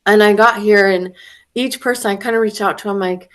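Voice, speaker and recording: A young woman in casual conversation, animated and laughing as she acts out quoted voices, a little stuffy from a head cold. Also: medium pitch, fairly quick. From Florida. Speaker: retreat attendee.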